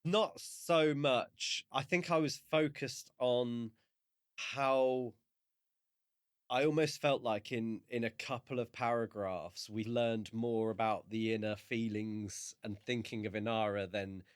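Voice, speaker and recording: A man co-hosting a podcast, discussing a book in a casual, measured and reflective way. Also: medium pitch, steady tone, clean tone, dry recording, clean studio sound. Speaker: podcast co-host.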